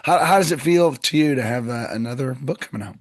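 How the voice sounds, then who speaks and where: A man hosts a podcast in a slightly scratchy, hoarse voice at a middling pitch. He talks casually and chattily with his guests. His recording is dry and close, with no room sound around it. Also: lively intonation, quick pace, dry recording. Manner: chatty, joking, loose phrasing. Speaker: podcast host. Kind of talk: casual interview chat.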